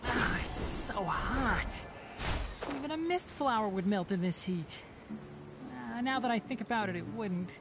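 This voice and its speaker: Young female game traveller, playful, unhurried delivery, middle pitch, clear music behind. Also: lively intonation. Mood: overheated, playful. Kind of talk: video game voice acting.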